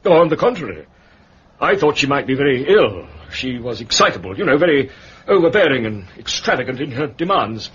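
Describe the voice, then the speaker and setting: An actor playing a middle-aged English bank manager in a period radio drama, fussy, discreet and hesitantly formal. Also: male voice, medium pitch, sing-song, brisk, fairly bright tone. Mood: uneasy. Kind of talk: British period detective radio drama acting. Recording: muffled radio recording, clear background noise.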